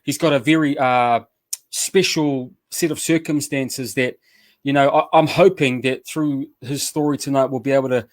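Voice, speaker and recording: A man's voice: medium pitch, steady tone, moderate pace, dry recording. A man with a New Zealand accent hosting a casual radio chat show, upbeat and loose with slang, often restarting his sentences. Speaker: show host.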